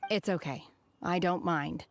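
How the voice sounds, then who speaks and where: An actress playing a calm, reserved, earnest young woman with formal, measured wording in a video game. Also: fairly low pitch, a crowd in the background. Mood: grateful, composed. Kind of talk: video game dialogue acting.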